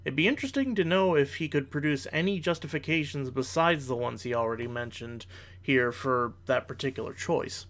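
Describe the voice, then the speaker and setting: A man's voice: mid-range voice, fairly quick, a bit bright. Analytical man giving formal rebuttal commentary. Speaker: video creator giving rebuttals. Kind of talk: reaction commentary, argumentative.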